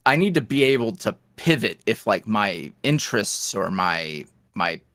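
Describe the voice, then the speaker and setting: A man guest on a podcast, an ASMR roleplay creator, talking candidly in a rambling, filler-heavy way that swings from lively bursts to low mutters. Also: a little slow, no echo, clean studio sound. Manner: swings between animated highs and low mutters. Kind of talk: casual interview chat.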